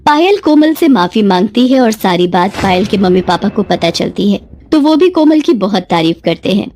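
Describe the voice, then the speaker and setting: A woman's voice: mid-range voice, lively intonation, normal speed, a little mellow. A woman narrating a scripted moral bedtime story as its storyteller.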